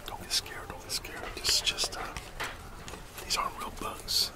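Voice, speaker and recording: A man's voice: normal speed, far from the mic, close to an everyday voice. A middle-aged man playing a disgruntled, gossipy office phone worker in an ASMR roleplay, murmuring casually and conspiratorially. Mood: relaxed, conspiratorial. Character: disgruntled, gossipy, laid-back long-time office phone worker, male.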